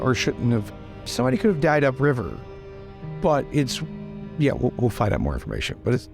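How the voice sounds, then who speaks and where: A man's voice: mid-range voice, gravelly. A man playing a tabletop roleplaying game, improvising casually and thinking aloud in a tentative way. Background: background music.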